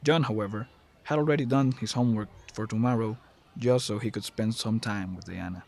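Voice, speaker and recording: Low-voiced man narrating an audio drama. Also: brisk, gravelly, darkish tone. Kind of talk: scripted dramatic story narration.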